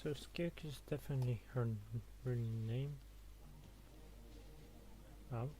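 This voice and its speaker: A man's voice: medium pitch, takes it slowly. A man giving casual, muttered commentary while playing a game. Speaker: gamer streamer.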